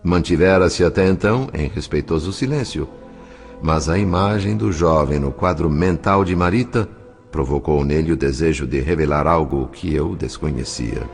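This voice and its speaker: A man's voice: a bass voice, normal speed, creaky. A man narrating a scripted audio drama in a formal, solemn, literary manner. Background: music.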